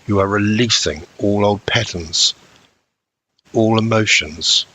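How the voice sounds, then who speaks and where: A man narrating a scripted guided meditation as an instructor, in measured short phrases with frequent pauses. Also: lowish voice, pushed and bright.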